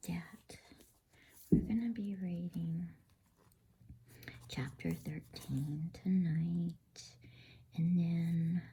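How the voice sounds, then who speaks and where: A woman speaking in a breathy ASMR whisper, unhurried and gentle, as if hosting a bedtime reading. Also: lowish voice. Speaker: host and bedtime story reader. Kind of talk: whispered ASMR, casual announcements and storybook reading.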